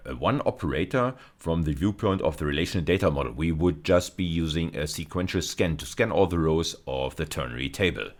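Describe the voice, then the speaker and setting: A man giving a technical video lecture, explaining step by step in a halting way with frequent self-corrections. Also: sits low. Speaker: university lecturer.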